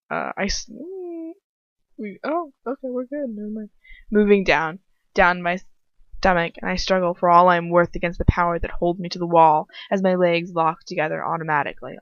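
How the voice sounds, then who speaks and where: A woman's voice: fairly low pitch, normal speed, no room sound, muffled recording. A woman narrating a first-person story aloud in an informal way, stumbling and slipping into asides. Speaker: amateur fan fiction reader.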